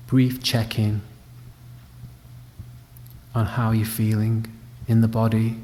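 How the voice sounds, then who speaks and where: A man guides a meditation in a dark, mellow, low voice. His delivery is calm and gentle, with long pauses between phrases. The sound carries a long reverberant tail. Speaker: meditation teacher. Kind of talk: guided body scan meditation. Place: indoor room at a wellness centre. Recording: reverberant hall, faint background noise.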